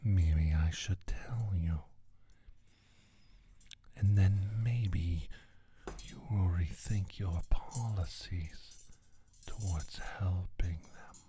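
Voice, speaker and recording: A man playing a menacing, smug alien scientist in a soft-spoken ASMR roleplay, talking in a deliberate, condescending way. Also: low voice. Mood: smug, threatening.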